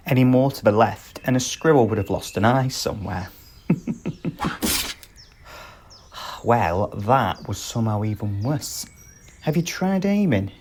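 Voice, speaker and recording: A man's voice: medium pitch, expressive, slow pace, pauses often, background noise. A man playing a sarcastic, teasing rogue bandit protector in a flirtatious ASMR roleplay, exasperated but fond. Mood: dry teasing.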